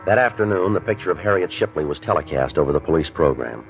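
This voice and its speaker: A terse, very deep-voiced police sergeant. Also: male voice, quick pace, soft background music. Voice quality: clipped, flat, businesslike delivery. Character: terse, matter-of-fact male police sergeant. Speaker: police detective narrator. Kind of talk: 1950s radio drama acting. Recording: dull, lo-fi 1950s radio recording.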